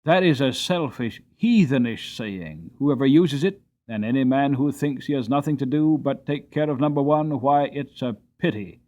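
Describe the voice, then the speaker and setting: A man's voice: mid-range voice, lively intonation, normal speed, hardly any pauses, mellow, natural voice. A man playing a kind, earnest coachman. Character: kind, hardworking male coachman. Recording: tinny narrowband recording.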